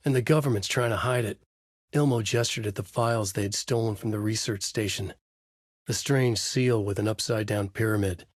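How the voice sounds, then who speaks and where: An actor playing a troubled novelist in a horror video game, narrating his dark story in a husky, grave, deliberate noir style. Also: fairly low pitch, no echo, studio quality.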